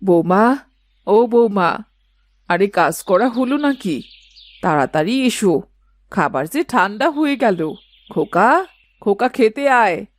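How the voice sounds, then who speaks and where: A woman's voice: mid-range voice, lively intonation, takes it slowly, pauses often, dry recording. A woman voicing a stern, nagging older mother-in-law in a Bengali cartoon, scolding and calling out.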